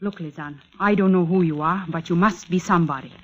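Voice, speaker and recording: A woman's voice: on the low side, fairly quick, close to an everyday voice. An actress playing a bitter, weary woman giving blunt warnings in a 1940s radio suspense drama. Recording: dull, muffled old radio recording.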